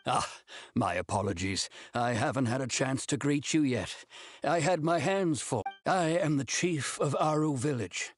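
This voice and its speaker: A courteous male village chief speaks in a mid-pitched, measured way. The game character is polite and considerate, over a music bed. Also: expressive, no echo. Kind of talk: video game voice acting.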